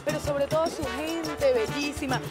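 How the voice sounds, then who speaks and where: Cheerful high-voiced Venezuelan woman host. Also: moderate pace, over loud music. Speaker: TV travel show host. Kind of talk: cheerful presenting.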